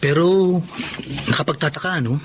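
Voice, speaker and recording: Man acting higher-voiced young Filipino buddy. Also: normal speed, slight background noise, close to an everyday voice. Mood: curious. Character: friendly, curious young male buddy. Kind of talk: Filipino radio drama acting.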